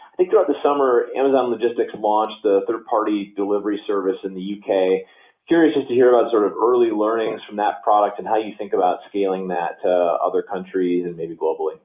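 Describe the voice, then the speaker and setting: A man calling in as a financial analyst, asking questions conversationally and restarting his phrases. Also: low-pitched. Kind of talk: spoken questions on earnings call. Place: phone call into conference. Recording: tinny phone line, roomy echo.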